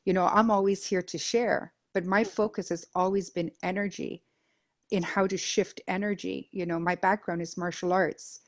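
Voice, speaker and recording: A middle-aged woman from Denmark, a guest on an interview, speaking earnestly about her own life, repeating phrases in lists and pausing with fillers. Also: lowish voice, steady tone. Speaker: guest, Reiki master and life coach. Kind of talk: reflective personal interview.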